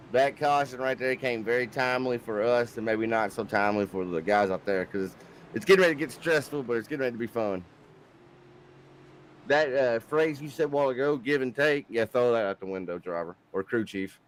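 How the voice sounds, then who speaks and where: A man's voice: medium pitch, brisk, a little hiss or hum, low-quality recording. A man doing color commentary on a sim race broadcast, talking in a folksy, teasing, joking way. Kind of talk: casual race broadcast banter.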